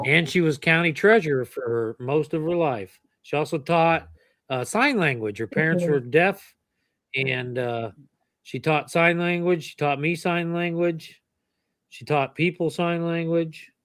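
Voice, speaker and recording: A man's voice: on the high side, a little slow, a bit bright, no echo. A middle-aged man hosting a casual family chat, telling rambling stories and chuckling as he goes. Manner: rambling storyteller, chuckles often. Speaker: channel host.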